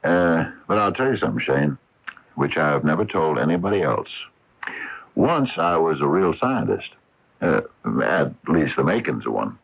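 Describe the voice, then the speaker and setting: An actor playing an uneasy small-town hardware store owner who was once a scientist, speaking haltingly in an old radio drama. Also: male voice, very deep voice, sing-song, gravelly, husky, heavily acted. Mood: uneasy, confiding. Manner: hesitant, halting, full of uhs. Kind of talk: 1980 radio drama acting. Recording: muffled vintage AM radio broadcast.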